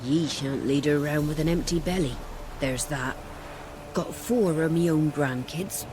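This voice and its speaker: A woman's voice: deep voice, unhurried, noisy background. A woman playing a careworn, blunt old peasant grandmother in a video game. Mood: weary, pleading. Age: older. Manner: rustic, scolding, blunt. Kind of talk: video game cutscene acting.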